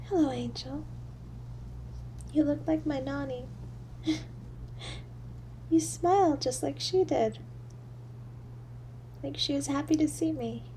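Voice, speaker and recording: A woman voicing a poor, lonely little girl in an audio drama, halting and breathy, with childlike stammering. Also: high-pitched, warm tone, a little hiss or hum. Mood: tender longing. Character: poor, lonely, freezing little match girl, timid and yearning. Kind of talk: solo audio drama monologue.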